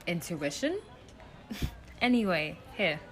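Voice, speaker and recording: A woman's voice: on the low side, big pitch swings, slow. An actress playing a guarded, protective young woman in an audio play, speaking in short, evasive phrases. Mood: light, friendly. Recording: busy crowd murmur behind.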